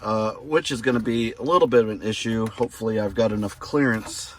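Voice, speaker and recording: A man hosting a casual hands-on DIY vlog, thinking aloud in a halting, self-deprecating, crude way. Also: on the low side, rough recording. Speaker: host of a DIY truck modification vlog. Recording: clear background noise and ambience.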